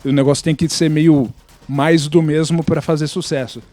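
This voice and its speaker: Higher-voiced Brazilian man, mellow and blunt. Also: level tone, moderate pace. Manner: opinionated, blunt, profane. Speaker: podcast participant. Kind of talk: casual chat, explaining with opinions.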